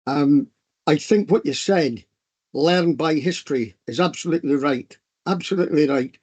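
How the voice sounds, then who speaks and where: A man speaking as a councillor at a council meeting, halting and repeating words as he goes. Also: on the high side, darkish tone, breathy edge.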